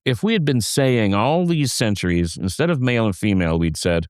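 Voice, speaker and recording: Low-voiced man, halting casual talk. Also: animated, a little mellow, clean tone, no room sound, studio quality. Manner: halting, many restarts and fillers. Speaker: podcast co-host. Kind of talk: casual conversation.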